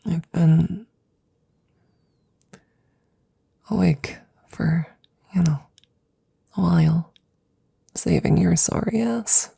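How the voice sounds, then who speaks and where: Low, breathy, worried woman scientist, acted by a woman. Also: slow, warm tone, full character voice. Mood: fond, teasing. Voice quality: breathy, often dropping to a whisper. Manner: hesitant, caring, casual and reassuring. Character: worried, exhausted young woman scientist tending her android best friend. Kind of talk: intimate ASMR audio roleplay.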